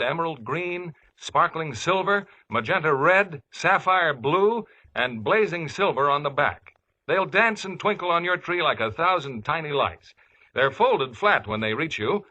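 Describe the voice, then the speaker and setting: A man with a bright, highish American voice delivers a 1950s radio commercial. His pitch swings in an animated way, and every phrase is polished and emphatic, as an announcer selling a product. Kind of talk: 1950s radio advertising read, scripted.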